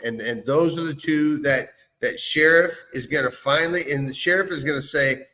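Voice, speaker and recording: A man's voice: fairly high pitch, moderate pace, bright, forceful tone. A man co-hosting a casual, opinionated chat in an emphatic, insistent way. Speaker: show co-host.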